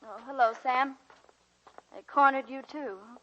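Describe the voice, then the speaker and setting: An actress playing a retired college teacher over seventy, dry, tender and reflective, in a 1940s radio drama style. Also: female voice, high-pitched, a little slow. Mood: wry, resigned. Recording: dull vintage 1940s radio recording.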